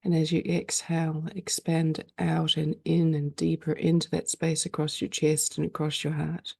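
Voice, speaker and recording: A woman leading a guided meditation in a calm, soothing way, speaking slowly with frequent pauses. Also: deep voice, gravelly, slightly rough, no echo, clean studio sound.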